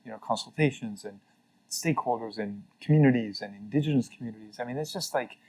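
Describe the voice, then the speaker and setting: A man's voice: mid-range voice, quick pace, darkish tone, low-quality recording. A middle-aged man from Mexico, speaking earnestly and reflectively as an interview guest, with frequent fillers and restarts. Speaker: interview guest, former carbon registry CEO. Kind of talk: casual conversational interview.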